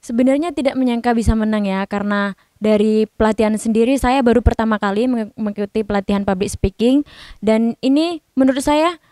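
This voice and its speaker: A young woman student from Indonesia answers questions on a podcast in an earnest, slightly hesitant way. Her voice sits a little high. The sound is dry and close. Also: brisk, warm tone, no room sound. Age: young adult. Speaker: student guest.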